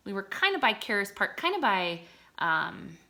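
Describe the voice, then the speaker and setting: A woman reading aloud to children like a teacher, enunciating deliberately and explaining words simply as she goes. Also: medium pitch, sing-song, vocal fry, bright, forceful tone.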